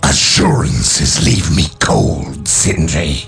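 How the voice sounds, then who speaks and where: An actor playing a brutal, sneering warlord villain in a game, with a gravelly, guttural voice and an imperious manner. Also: male voice, a bass voice, takes it slowly, exaggerated voice. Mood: cold contempt. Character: brutal, contemptuous Chaos warlord, menacing and disdainful. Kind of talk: villainous game cutscene acting. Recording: music bed under the voice.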